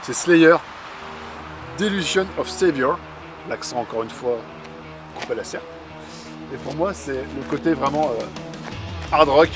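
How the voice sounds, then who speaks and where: A man's voice: on the high side, a little slow, pauses often. A man co-hosting a casual chat about cars and music, easygoing and enthusiastic. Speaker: co-host.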